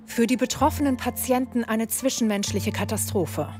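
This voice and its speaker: A woman's voice: on the high side, moderate pace, music in the background. A woman reporter reading scripted narration for a documentary news report.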